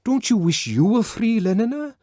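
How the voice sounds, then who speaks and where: A man reading an audiobook and voicing a brooding, discontented young man who talks earnestly and at times shouts. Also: high-pitched, very animated, normal speed, dry recording, clean studio sound, voice pushed up. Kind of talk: audiobook character voice.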